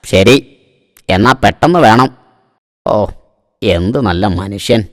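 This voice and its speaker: A man's voice: lowish voice, lively intonation, takes it slowly, a bit bright. A man voicing a self-assured but naive car owner, a comic simpleton in a cartoon. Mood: cocky, trusting.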